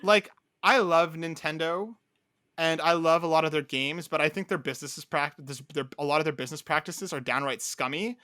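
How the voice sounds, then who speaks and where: Male co-host, bright forward voice, fairly high, emphatic, conversational, dry close sound. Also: brisk, no room sound. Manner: emphatic, acts out imagined quotes. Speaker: podcast co-host. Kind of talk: casual animated chat.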